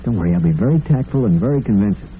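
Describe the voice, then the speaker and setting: An actor playing a kind, earnest bachelor in an old-time radio drama, courteous and reassuring. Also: male voice, fairly low pitch, animated, rapid-fire, narrow, tinny audio, natural voice. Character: kind, earnest bachelor wooing a young woman. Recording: humming, noisy old radio recording.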